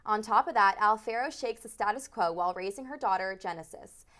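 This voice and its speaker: A woman anchors a student news show from a studio. She reads the script in a formal, crisp way at a mid pitch. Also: a little fast, fairly bright tone. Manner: crisp, formal read from teleprompter.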